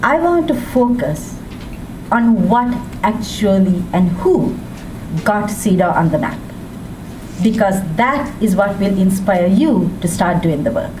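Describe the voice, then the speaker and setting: Slow-speaking woman from Sri Lanka. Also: mid-range voice, expressive. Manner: measured, deliberate delivery with emphatic rises. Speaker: women's rights advocate speaker. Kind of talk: public speech. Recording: distant mic with background noise.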